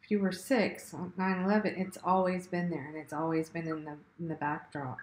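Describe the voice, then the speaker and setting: A woman's voice: on the low side, level tone, a little fast, echoey room, slight background noise, low-quality recording. A woman hosting an interview over a video call, conversational and affirming with frequent "mm-hmm"s. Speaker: interview host.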